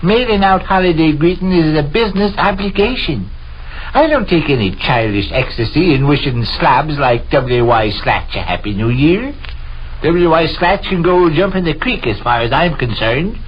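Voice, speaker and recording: A man's voice: on the high side, animated, normal speed, natural voice. A man playing an exasperated, wordy, stuffy American bookkeeper husband in a 1930s radio comedy. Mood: exasperated, pompous. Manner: pompous, wordy, indignant. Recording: dull, noisy 1930s radio recording.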